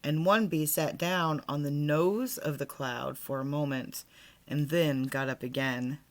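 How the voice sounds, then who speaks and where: A woman's voice: low voice, steady tone, unhurried. A woman reading a children's storybook aloud as storyteller, expressively, with asides to young listeners.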